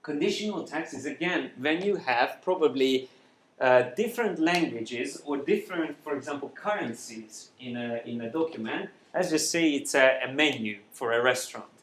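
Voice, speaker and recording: Bright-voiced Hungarian man teaching software. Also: medium pitch, expressive, moderate pace. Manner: loose, unscripted explaining with many fillers and restarts. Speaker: software trainer. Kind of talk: live screencast class with an audience.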